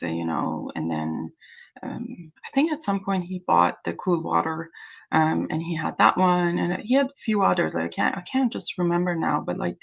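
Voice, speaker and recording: A woman's voice: lowish voice, vocal fry. A woman from Iran whose native language is Azeri, hosting a casual livestream chat in a hesitant, rambling way. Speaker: livestream host.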